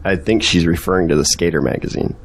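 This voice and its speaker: A man's voice: deep voice, fast talker, slightly rough. A young man playing an earnest, faithful friend in an audio drama, sincere and questioning. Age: young adult.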